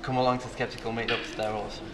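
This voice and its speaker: Man, from the UK, fluent, enthusiastic, monotone, background noise. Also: medium pitch, rapid-fire. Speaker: skeptics meetup organiser. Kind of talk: casual conference testimonial. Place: large hotel hall at a conference. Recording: noisy hall background.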